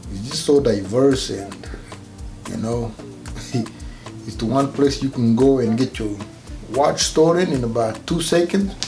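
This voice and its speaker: Gravelly Rwandan-accented man, mellow tone. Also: medium pitch. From Rwanda, grew up partly in Kenya. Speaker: interview guest. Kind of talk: casual personal storytelling in an interview.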